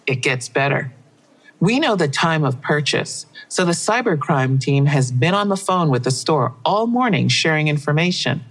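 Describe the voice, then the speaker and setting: An actress playing a brisk, abrasive female police detective in an audio drama. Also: sits low, animated. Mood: brisk, confiding. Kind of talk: full-cast audio drama acting.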